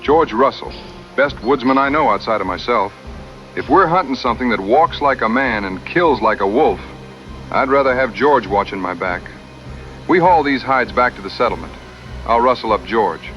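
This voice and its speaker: An actor playing a confident, plainspoken American frontier hunter with laconic, folksy dry humor in a western audio drama. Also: male voice, mid-range voice, lively intonation, moderate pace, a bit bright, lo-fi sound, soft background music, natural voice. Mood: steady, resolute.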